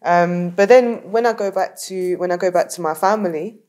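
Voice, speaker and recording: A woman's voice: on the low side, moderate pace, a little mellow. A young woman from the south of England with a Southern English accent, chatting casually as a podcast guest and laughing often between her words.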